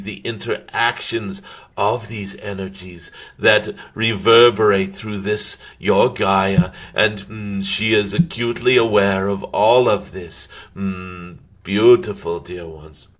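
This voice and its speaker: A man channeling a serene, benevolent golden cosmic dragon, speaking formally and haltingly with frequent drawn-out hums to a live audience. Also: lowish voice, fairly bright tone. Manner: formal, ceremonial phrasing. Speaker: channeler hosting a live stream.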